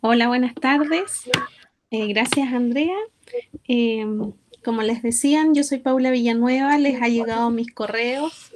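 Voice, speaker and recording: A woman's voice: a little high, measured pace, a little hiss or hum. A woman, a project coordinator, politely greeting and thanking participants on a video call. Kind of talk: formal welcome speech.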